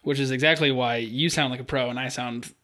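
A man's voice: medium pitch, fairly even intonation, fairly quick, pushed and bright. A man in his twenties co-hosting a casual sports podcast, laid-back and joking, with an unpolished delivery full of fillers. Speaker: podcast co-host.

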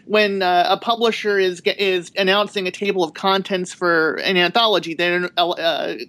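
A woman's voice: lowish voice, steady tone, moderate pace, fairly bright tone, no room sound. Woman, guest author, thoughtful and rambling, many restarts, dry sound. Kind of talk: casual interview talk.

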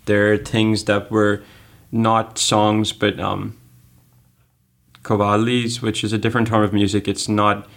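A man's voice: lowish voice, level tone, measured pace, fairly bright tone. A young man from Pakistan, a native Urdu speaker, answering interview questions in a thoughtful way, often searching for words. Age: teens. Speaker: student guest.

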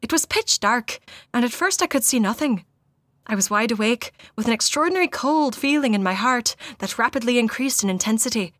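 An earnest witness to a haunting, played by a woman tells the tale in a put-on Irish accent. The voice is high and close, with very little room sound, and the reading is dramatic, as in a storytelling podcast. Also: animated, fairly quick, fairly bright tone, dry recording. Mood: hushed, earnest. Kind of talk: dramatic reading of a first-person ghost account.

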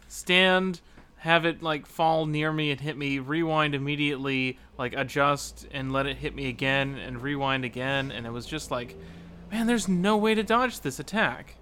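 Male podcast co-host, forceful bright voice a bit above average pitch, casual gaming talk. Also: normal speed, clean tone. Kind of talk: casual video game discussion.

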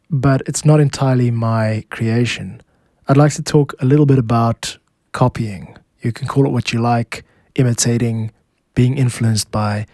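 A man with a South African accent, hosting a music podcast in a measured, explanatory narration. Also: medium pitch, a little mellow, no room sound, clean studio sound. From Cape Town, South Africa. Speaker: podcast host, music producer and composer.

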